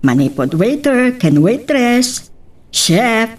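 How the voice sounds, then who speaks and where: A woman's voice: a little high, big pitch swings, slow, far from the mic, full character voice. A woman playing a practical businesswoman mother in an Ilocano audio drama. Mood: businesslike. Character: businesswoman mother planning a restaurant opening, frank and practical.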